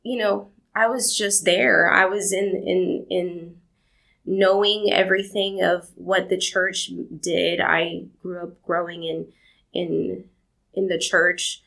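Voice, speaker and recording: A woman's voice: on the low side, flat delivery, takes it slowly, gravelly, rough recording. A young woman from Argentina, answering interview questions in a rambling, storytelling way. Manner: warm, rambling storytelling with laughs. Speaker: podcast guest.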